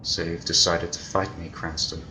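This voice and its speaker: An actor playing an arrogant, million-year-old criminal mastermind in an old-time radio drama, pompous, theatrical and condescending. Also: male voice, low-pitched, little pitch movement, normal speed, sharp tone, in a large hall, a little hiss or hum, poor audio. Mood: cold, suspicious.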